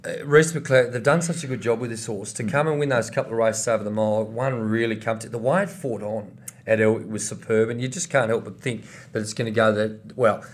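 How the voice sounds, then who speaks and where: A man's voice: fairly low pitch, steady tone, brisk, hardly any pauses. A man co-hosting a racing preview, giving tips in a conversational, enthusiastic way. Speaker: racing show co-host.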